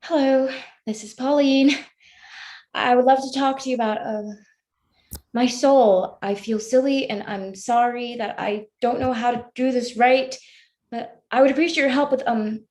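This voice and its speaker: A woman plays a defensive, short-fused teenage schoolgirl in a staged drama. Her voice is on the high side, and she talks bluntly and awkwardly, halting and then rambling on. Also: steady tone, darkish tone, natural voice. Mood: awkward, earnest. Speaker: actor in a staged reading. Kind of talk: staged play reading, dialogue and monologue.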